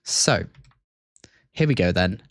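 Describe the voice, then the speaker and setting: Low-voiced man hosting a livestream. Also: expressive, takes it slowly, creaky, no echo. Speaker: livestream host. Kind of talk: casual scripted-free stream commentary and explanation.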